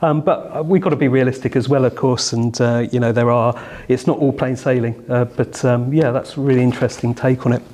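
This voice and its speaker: A man's voice: medium pitch, steady tone, a little fast, hardly any pauses, a little mellow. A middle-aged man hosting a casual discussion, speaking in a hesitant, meandering way. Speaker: host of a group discussion with dads. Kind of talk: casual chat.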